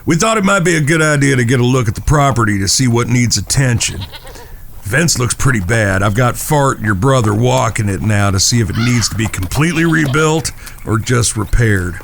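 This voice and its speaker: A man playing gravelly, courteous Southern cowboy Phil. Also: medium pitch, lively intonation, brisk, fairly bright tone, a little hiss or hum. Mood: practical, courteous. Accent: Southern American. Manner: folksy, polite. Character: Phil, earnest, courteous, protective Old West outlaw cowhand. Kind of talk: dramatic Western audio drama acting.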